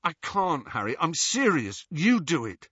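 A man's voice: a little high, big pitch swings, measured pace, fairly bright tone, slightly rough, no room sound, muffled recording, voice pushed up, exaggerated voice. A man voicing a scared, pleading teenage boy in a storybook, anxious and protesting. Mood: frightened, pleading.

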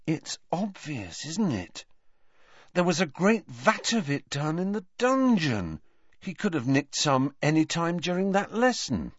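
A man voicing an excitable, headstrong teenage wizard boy in a storybook, eager and insistent. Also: fairly high pitch, a little slow, no echo, lo-fi sound, pitched above the performer's own voice. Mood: quiet realization.

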